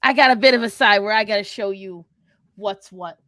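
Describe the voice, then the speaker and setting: A woman's voice: medium pitch, fairly quick, a bit bright, dry recording, studio quality, lightly acted. A woman voicing a brash, foul-mouthed, confrontational young woman with bossy sass, bantering in character in a live Q&A. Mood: gushing, competitive. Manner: confrontational, sassy, swearing, slangy.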